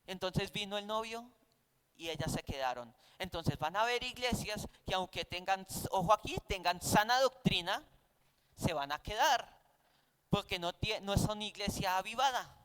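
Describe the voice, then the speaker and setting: Male Bible teacher, Colombian accent, high smooth voice, conversational preaching with rhetorical questions. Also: animated, moderate pace, fairly bright tone, poor audio.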